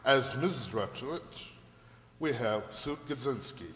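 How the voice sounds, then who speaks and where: A man working as an announcer, reading scripted radio drama credits in a measured, formal manner. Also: medium pitch, animated, heavy background noise. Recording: echoey hall, loud music behind.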